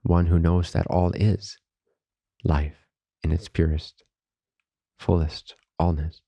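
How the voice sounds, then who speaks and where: A man guides a meditation in a very low, mellow voice. He speaks softly and calmly, leaving long pauses between reverent phrases. His delivery stays very even, close and dry. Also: no room sound. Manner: calm, unhurried, reverent spiritual phrasing. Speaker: meditation teacher.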